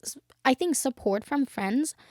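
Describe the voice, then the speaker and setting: A woman's voice: sits high, expressive, measured pace, darkish tone, dry recording, clean studio sound. A young woman from Bangladesh, co-hosting a casual podcast and talking reflectively with lots of "you know". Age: young adult. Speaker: podcast co-host. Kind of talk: casual chat.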